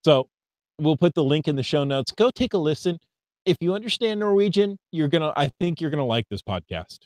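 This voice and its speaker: A man's voice: fairly high pitch, sing-song, brisk, a little mellow, no room sound. An American man co-hosting a casual hobby podcast, reading listener emails aloud and stumbling over foreign words. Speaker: podcast co-host.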